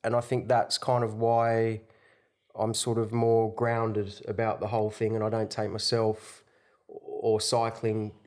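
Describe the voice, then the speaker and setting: A man's voice: on the low side, monotone, unhurried, darkish tone. A middle-aged man who grew up in Tasmania, a podcast guest telling his story in an open, chatty way. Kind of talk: casual podcast chat.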